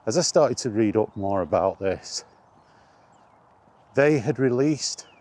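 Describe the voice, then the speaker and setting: A man's voice: fairly low pitch, lively intonation, slow, a little mellow. A man talking in a casual, rambling monologue, reminiscing and thinking aloud as he goes. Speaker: host of a gaming chat vlog.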